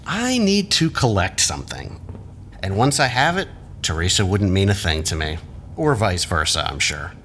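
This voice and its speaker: A man's voice: low voice, very animated, moderate pace, a bit bright, a little hiss or hum, heavily acted. An actor playing a smug, scheming rival in an audio drama, glib and mocking as he talks.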